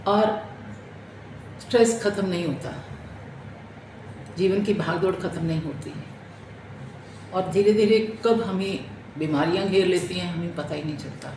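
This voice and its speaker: A middle-aged woman giving an earnest personal talk, explaining and often repeating her phrases. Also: fairly low pitch. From India. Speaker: music therapist and doctor. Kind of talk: personal testimonial talk in Hindi with English terms. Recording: echoey room with clear background noise.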